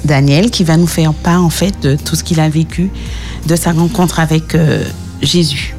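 A woman with a very low voice introduces the guest on a radio show in a friendly way. Music plays faintly behind her. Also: fairly even intonation, normal speed. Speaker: radio co-host. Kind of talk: radio hosting. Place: radio studio.

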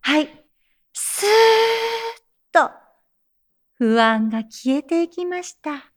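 A woman playing a calm meditation teacher in an audio drama, giving measured, gentle instructions and reassurance. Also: extremely high pitch, heavily acted. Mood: soothing, reassuring. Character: soothing female teacher leading breathing meditation, insistently calm amid menace.

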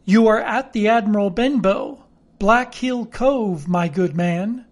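A woman's voice: mid-range voice, slow, darkish tone, close to an everyday voice. A woman voicing a frightened, polite young boy in a storybook, earnest and deferential.

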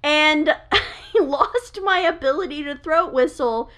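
A young woman with a very high-pitched voice, co-hosting a casual chat and laughing often. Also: a little slow, a bit bright. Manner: giggly, bursts into laughter often. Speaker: podcast co-host.

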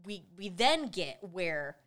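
A woman's voice: fairly low pitch, very animated, slow pace, fairly bright tone. A woman co-hosting a podcast, recapping an old film in casual chat, laughing often and acting out characters' lines. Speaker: podcast co-host.

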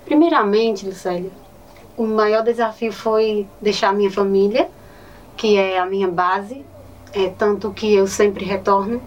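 A woman with a Northeastern Brazilian accent, a teacher answering interview questions earnestly and often adding "né". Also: on the high side. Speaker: teacher and poet, interview guest. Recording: distant mic with faint ambient noise.

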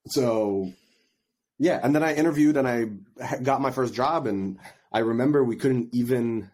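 A man's voice: medium pitch, moderate pace, a little mellow. A man from Egypt telling stories casually as a podcast guest, in informal, slangy speech full of quoted asides. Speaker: podcast guest, product marketer. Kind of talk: casual conversational storytelling.

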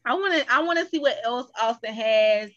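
A woman's voice: a little high, lively intonation, brisk, a bit bright, muffled recording. A woman with an American accent chatting casually as a guest on a podcast. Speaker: podcast guest, voice cast member. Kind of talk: casual chat.

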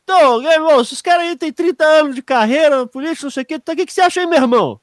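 A Brazilian man hosts a casual panel chat. His voice sits high for a man, and the sound is dry. He talks informally, with plenty of slang. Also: rapid-fire, dry recording. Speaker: podcast host.

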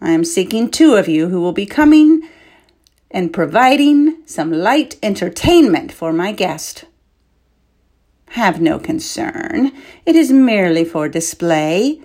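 A woman's voice: medium pitch, sing-song, a little slow, warm tone. A woman reading a story, voicing a domineering, scheming king who speaks harshly. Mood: commanding. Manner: commanding, harsh.

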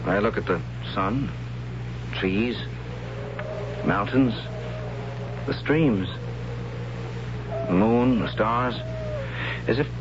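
Low-voiced, gentle young captive, earnest and hesitant; dull vintage radio sound with music underneath. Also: male voice, drawn-out words, pauses often, background noise. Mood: wondering. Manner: soft-spoken, earnest, hesitant. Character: captured young man, gentle and wondering. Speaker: captive narrator of the story. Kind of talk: 1970s radio drama acting and narration.

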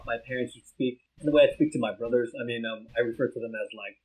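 A man's voice: medium pitch, fast, darkish tone, a little hiss or hum, poor audio. A man from the United States with an American accent, whose first language is Vietnamese, co-hosting a casual chat and hedging often with "like" and "um". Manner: laid-back, hedges with "like, um". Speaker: podcast co-host.

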